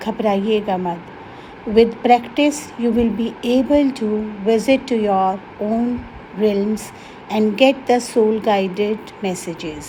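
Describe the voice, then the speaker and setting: An Indian woman guiding a meditation in a soothing, instructional way, pausing often between short phrases. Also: a little high, a little mellow, a little hiss or hum, poor audio. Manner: slow, soothing, instructional, with long pauses. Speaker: meditation guide. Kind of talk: guided meditation in Hindi with some English phrases.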